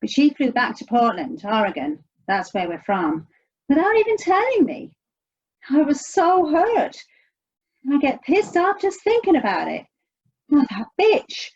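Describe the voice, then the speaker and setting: A woman's voice: high-pitched, huge pitch swings, a little slow, a little mellow, highs cut off, full character voice. An actress in an audio play playing an anxious, sardonic American woman searching for her estranged sister. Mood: hurt, angry.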